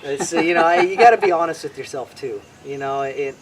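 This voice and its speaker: A man from Hawaii, a bushcraft instructor, chatting casually as a guest, relaxed and rambling with many restarts. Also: on the high side, a little fast, a crowd in the background, low-quality recording. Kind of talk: casual interview chat.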